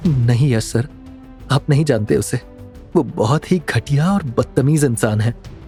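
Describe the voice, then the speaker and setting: A man voicing an anxious, respectful young woman in an audio drama, halting and fearful. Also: medium pitch, warm tone, faint music behind, lightly acted. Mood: worried, reluctant. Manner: trembling, halting, choked speech.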